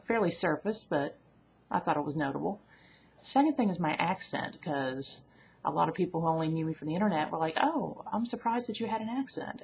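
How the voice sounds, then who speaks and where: A woman's voice: on the low side, vocal fry. A woman in her thirties from Alabama with a mild Southern accent, chatting candidly to a webcam at home and often correcting herself mid-sentence. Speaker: vlogger. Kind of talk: casual talk to camera. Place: at home indoors. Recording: dull lo-fi webcam audio with faint hiss.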